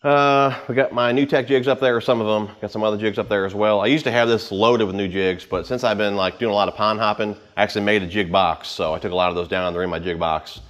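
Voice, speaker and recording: A man in his thirties giving a casual, unscripted walkthrough to camera in an informal, rambling way, with pauses as he handles gear. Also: on the low side, steady tone, fairly bright tone. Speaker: vlogger giving a boat tour. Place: home garage with the door open.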